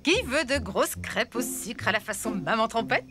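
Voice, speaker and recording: Woman as cartoon mother, lively intonation, firm, motherly, music behind. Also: on the high side, moderate pace, lightly acted. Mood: coaxing. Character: firm, caring elephant mother. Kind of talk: French cartoon dub acting.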